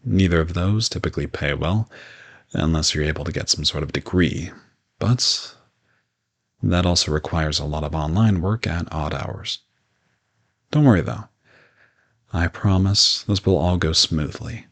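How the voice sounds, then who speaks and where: A low-pitched, gravelly modern vampire, played by a man, speaks in a roleplay audio drama. He is polite and reassuring, explaining things in a gentle, conversational, matter-of-fact way. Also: unhurried, pauses often, exaggerated voice.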